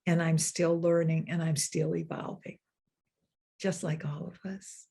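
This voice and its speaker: Older female minister, sermon delivery, lower voice, earnest, drawn-out emphasis. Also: flat delivery, vocal fry, warm tone, slightly rough. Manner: earnest, repeats phrases, slows down for emphasis. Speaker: senior minister.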